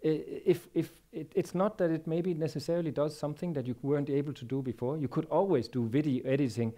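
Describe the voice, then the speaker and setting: A man in his forties with a Danish accent giving a technical conference talk on a large stage, halting and repeating words often. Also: on the high side, dark tone. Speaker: conference speaker.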